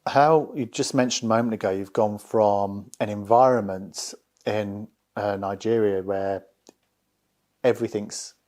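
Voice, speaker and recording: A man hosting a conversational interview, measured and supportive as he sums up his guest's story. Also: lowish voice, fairly even intonation, a little mellow. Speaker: interviewer, host.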